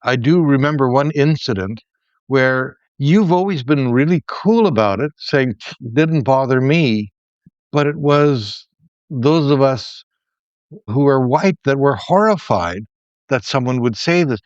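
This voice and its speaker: Smooth-voiced middle-aged man telling stories. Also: medium pitch, lively intonation, unhurried, dry recording, dull audio. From Canada. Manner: reflective storyteller, many restarts. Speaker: podcast co-host, former professor. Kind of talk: casual conversation, storytelling.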